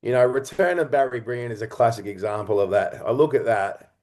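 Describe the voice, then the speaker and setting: Male interview guest, mid-range voice, creative type, thoughtful and expressive, conversational storytelling in broken phrases. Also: mid-range voice, a little fast.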